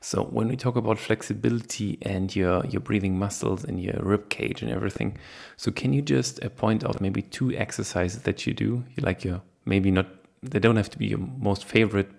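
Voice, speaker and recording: Podcast interviewer, man, English. Lower register, gravelly fry, conversational questioning. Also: fairly even intonation, moderate pace, a little mellow. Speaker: podcast host. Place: online call.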